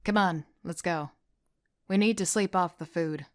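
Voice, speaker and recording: A woman's voice: fairly low pitch, unhurried, natural voice. A woman playing a wry, casual young student team leader who narrates an audio drama in the first person with sardonic asides. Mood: wry, relaxed. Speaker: voice actor.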